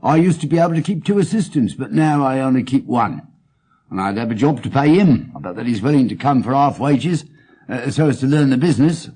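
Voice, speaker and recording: A man's voice: medium pitch, brisk, mellow, slightly rough, natural voice. A man playing a homely, wordy English pawnbroker in an audiobook, with an earnest, stammering manner. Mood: earnest, plaintive. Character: plain, stout, red-headed male pawnbroker, earnest and wordy.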